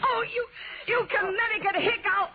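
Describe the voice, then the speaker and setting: An actress playing a scornful, hot-tempered moll with theatrical outbursts in a 1940s radio drama. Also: female voice, very high voice, fairly even intonation, moderate pace. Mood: furious. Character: brassy, scornful gangster's moll, adult woman, hot-tempered. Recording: noisy, tinny old radio recording.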